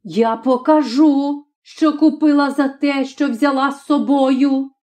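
A shrill, high old peasant mother, stingy and quick to scold, shouting at her grown sons and husband in a storybook reading, as a woman reader puts her on. Her voice is mellow at the base but climbs high whenever she rages. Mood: screeching.

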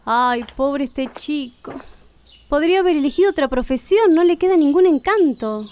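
High-pitched nagging Argentine mother, acted by a woman. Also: animated, moderate pace, darkish tone, lo-fi sound, slight background noise. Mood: fussy, distrustful. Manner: nagging, scolding. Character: bossy, disapproving, gossipy mother of a teenage daughter. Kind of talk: radio drama acting.

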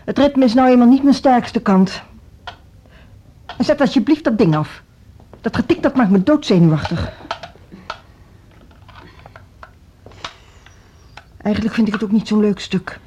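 An actress playing an imperious, defensive music school director in a Dutch radio drama, speaking in a brisk, formal, dismissive way. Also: female voice, a little high, lively intonation, warm tone, highs cut off, a little hiss or hum, natural voice. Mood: weary, irritable.

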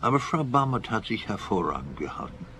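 An actor playing a jumpy, blustering older emigrant back from America, mixing in English phrases, in a radio play. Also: male voice, fairly low pitch, big pitch swings, brisk, bright, forceful tone, a little hiss or hum. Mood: confiding. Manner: indignant, peppered with English phrases.

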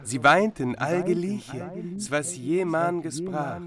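A man's voice: fairly high pitch, animated, takes it slowly, crowd noise. A man reciting archaic verse in a radio drama.

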